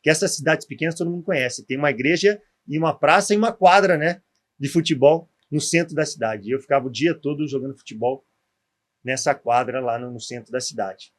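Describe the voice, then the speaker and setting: A gaúcho man in his forties, a former athlete, recounts his upbringing in a podcast interview. His voice sits a bit high for a man. He keeps checking in with tag questions as he tells the story. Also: brisk. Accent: gaúcho Portuguese. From southern Brazil. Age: 40s. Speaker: guest, former pro footballer.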